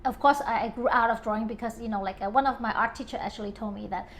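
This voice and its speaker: Woman from hong kong, highish voice, hesitant with fillers, laughs often, faint room hum. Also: fast talker. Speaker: interior designer guest. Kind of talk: casual interview chat.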